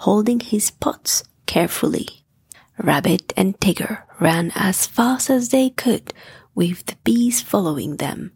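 A woman narrating a scripted bedtime story for children with a soft, gentle delivery. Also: medium pitch, creaky, husky, no echo.

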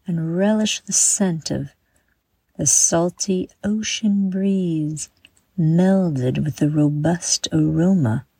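A woman guiding a meditation from a script in a soothing, measured manner, with breathy exhaled phrases. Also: fairly low pitch, mellow, no room sound. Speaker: meditation guide and host. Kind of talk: guided meditation, scripted narration.

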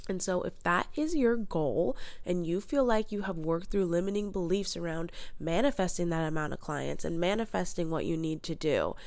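A woman's voice: on the low side, moderate pace, few pauses, a little mellow, no room sound. A young adult woman hosting a solo podcast as a mindset coach. She has a cold and sounds stuffed up, and her delivery is upbeat and motivational. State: congested with a head cold.

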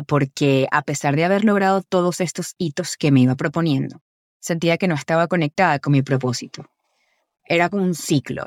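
A woman in her twenties with a Venezuelan accent, hosting a podcast in a scripted, personal narration with articulate, deliberate phrasing. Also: sits low, no room sound, studio quality. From Caracas, Venezuela. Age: 20s. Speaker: podcast host.

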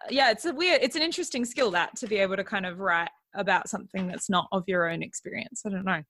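A woman hosting an interview, encouraging, with frequent soft backchannels. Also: mid-range voice, fairly quick, no room sound. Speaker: podcast host. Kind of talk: conversational interview.

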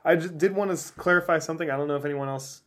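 A man's voice: a little high, very fast, fairly bright tone. Rambling, self-mocking man podcast host. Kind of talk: casual chat.

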